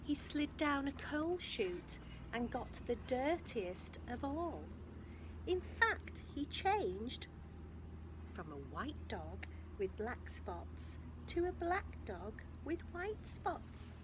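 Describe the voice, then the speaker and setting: A woman reads a children's story in a slightly high, very animated voice. She is expressive and emphatic, addressing young listeners directly. There is clear background noise on the recording. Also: takes it slowly. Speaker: infant school storyteller. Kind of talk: reading a picture book aloud to young children, with asides.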